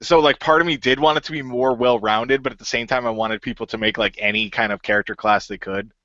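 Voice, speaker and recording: A man, creator of a radio play, chatting animatedly with his cast and laughing loudly, with many fillers, over muffled audio. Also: medium pitch, fairly even intonation, quick pace, dry recording. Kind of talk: casual online group chat.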